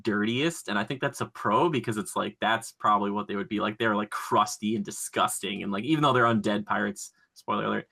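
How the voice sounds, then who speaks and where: A man's voice: fairly low pitch, brisk, gravelly, hardly any pauses, pushed and bright. A man hosting a podcast in a casual, chatty, joking style. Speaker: podcast host.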